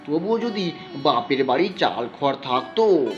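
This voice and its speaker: Aggrieved young wife, voiced by a male reader for a storybook drama: defiant, animated, highish pitch, music bed. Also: measured pace, dull audio. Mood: defiant, bitter. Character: long-suffering, sharp-tongued young village wife and mother.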